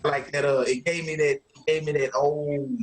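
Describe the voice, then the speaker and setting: A man's voice: on the high side, little pitch movement, moderate pace. A man hosting a podcast in casual, slangy banter peppered with profanity. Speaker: podcast host.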